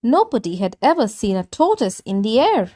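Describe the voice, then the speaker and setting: A woman narrating a children's story as a read-aloud, with deliberate, simply phrased delivery. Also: fairly high pitch, big pitch swings, mellow.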